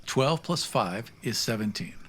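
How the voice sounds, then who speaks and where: A man's voice: medium pitch, lively intonation, a little slow, a bit bright, a little hiss or hum. A man playing a tabletop game, improvising his character's story as he talks.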